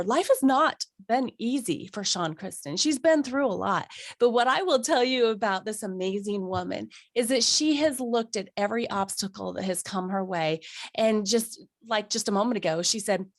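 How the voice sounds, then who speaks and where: Raspy-voiced woman podcast host. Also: a little high, dry recording, studio quality. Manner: effusive, upbeat and affirming. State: raspy voice from a cold. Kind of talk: warm conversational interview.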